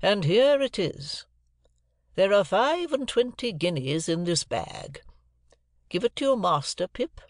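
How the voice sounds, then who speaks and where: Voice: medium pitch, big pitch swings, measured pace, no echo, studio quality, pitched above the performer's own voice. A storyteller voicing an imperious, eccentric older lady of wealth, with commanding, clipped and emphatic speech. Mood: stately, deliberate.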